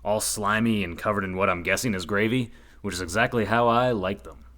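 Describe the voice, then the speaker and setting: An actor playing an earnest, dim young reporter in a comedic radio play, delivering lines in a deadpan, earnest manner. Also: male voice, fairly low pitch, fairly quick.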